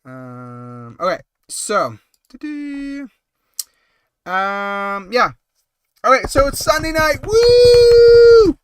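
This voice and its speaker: A man's voice: very high voice, big pitch swings, very slow. A man hosting a casual late-night live stream while he has a cold. He rambles and chats, with lots of ums and small laughs. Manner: rambling, chatty, full of ums and little laughs.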